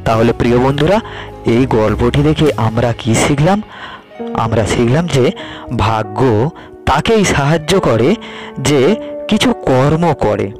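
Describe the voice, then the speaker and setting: Man, mid-pitched voice, scripted fairy tale narration, storyteller, background music. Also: animated, measured pace, pauses often.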